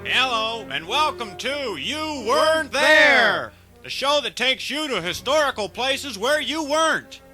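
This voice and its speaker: A man playing an over-excited history show announcer in a radio parody, declaiming with exaggeration. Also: very high voice, measured pace, soft background music, full character voice. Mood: grandly excited.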